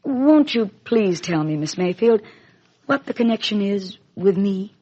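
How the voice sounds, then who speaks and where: Earnest married woman, anxious, pleading, slightly husky, vintage radio drama, dull recording. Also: medium pitch, animated, a little slow, warm tone. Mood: anxious, puzzled. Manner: polite, pleading. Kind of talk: 1950s radio soap opera acting. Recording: muffled old 1950s radio recording.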